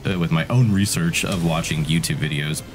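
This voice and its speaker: A man's voice: a bass voice, brisk, music in the background. A middle-aged man co-hosting a gaming show with casual, joking, sweary commentary, often in a mock ASMR style. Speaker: gaming show co-host and coach. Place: indoor streaming room.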